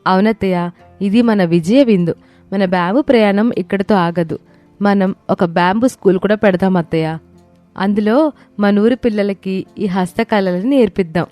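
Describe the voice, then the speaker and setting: A woman's voice: mid-range voice, moderate pace, mellow, dry recording, faint music behind, natural voice. A woman voicing an encouraging, resourceful young daughter-in-law in a moral story. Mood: earnest. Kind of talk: moral story character acting.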